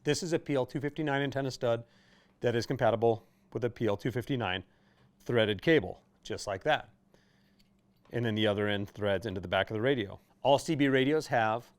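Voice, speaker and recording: Male presenter, mid-pitched, for a retailer's informal how-to: conversational, explanatory, hands-on. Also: a little mellow. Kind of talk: casual product explainer.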